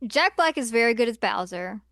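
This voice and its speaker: A woman's voice: fairly high pitch, lively intonation, brisk, fairly bright tone, no room sound, studio quality. A woman co-hosting a podcast in casual film-review chat, talking conversationally and peppering sentences with "like". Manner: conversational, filler-heavy with frequent "like". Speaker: podcast co-host.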